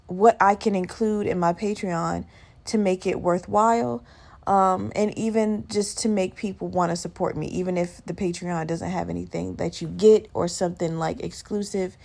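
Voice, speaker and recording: A woman hosting a casual solo podcast, chatting in a rambling, candid, self-deprecating way with a slightly gravelly, just-woken-up voice. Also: medium pitch, a little mellow. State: just woke up. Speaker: podcast host.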